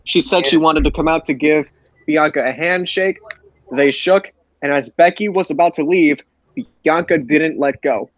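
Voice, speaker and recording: A man with a slightly high voice leads a casual podcast recap, moving through events in a plain storytelling way. The audio is a little dull and lo-fi. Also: moderate pace, no room sound. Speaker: podcast host leading the roundup.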